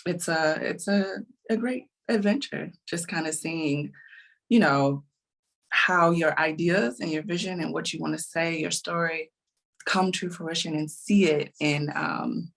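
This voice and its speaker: A woman guest talking casually in an interview, phrasing her thoughts in a halting, thoughtful way. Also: low-pitched, vocal fry. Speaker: interview guest, author and indie publisher.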